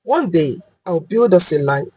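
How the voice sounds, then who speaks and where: A woman's voice: fairly low pitch, animated, measured pace, mellow, breathy edge, dry recording. Woman as a humble, earnest male mechanic. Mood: hopeful, tender. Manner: earnest, hopeful. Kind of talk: dramatic storybook dialogue.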